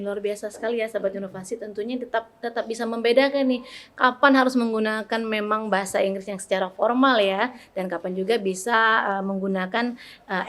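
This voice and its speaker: A woman hosting a podcast, chatting casually with guests and encouraging listeners in a friendly, filler-rich way. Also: mid-range voice. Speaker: podcast host. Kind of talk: casual interview chat.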